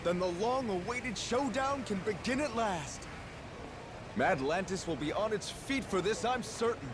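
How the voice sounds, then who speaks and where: A courteous game attendant at a pirate arena, high and very lively in pitch. He is eager and obliging, with clear noise around him. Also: unhurried. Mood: welcoming, delighted. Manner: polished, obliging, effusive. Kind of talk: dramatic video game dialogue.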